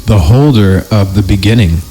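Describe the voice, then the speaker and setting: A man's voice: on the low side, normal speed, dark tone, poor audio. A man hosting a podcast, reading creepypasta horror stories in a comedic dramatic style with wry joking asides, over music.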